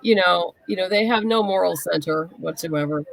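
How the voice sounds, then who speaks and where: A woman's voice: lowish voice, normal speed, creaky, dry recording, low-quality recording. A woman speaking as an interview guest in casual, conversational chat.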